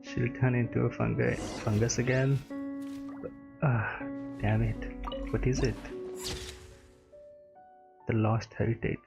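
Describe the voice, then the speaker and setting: Slow, creaky-voiced man muttering game reactions. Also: mid-range voice, flat delivery. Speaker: game streamer reacting to a story quest. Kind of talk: casual reaction commentary. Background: game music.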